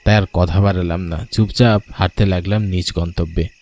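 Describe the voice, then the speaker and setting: Low-voiced man narrating a horror story. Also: steady tone, moderate pace, a little mellow. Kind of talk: scripted first-person horror story narration.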